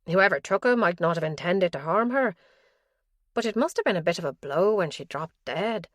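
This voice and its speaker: A woman reading a thriller audiobook in a low, measured voice. The sound is very dry and close, as if from a studio booth. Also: expressive, no room sound. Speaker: audiobook narrator. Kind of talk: scripted narration.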